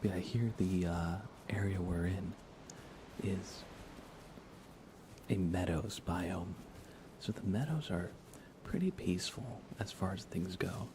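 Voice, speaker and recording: A man speaking in a soft, breathy whisper, giving unhurried, gently playful ASMR commentary while he plays a video game for viewers. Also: sits low, noisy background. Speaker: livestreamer playing a video game.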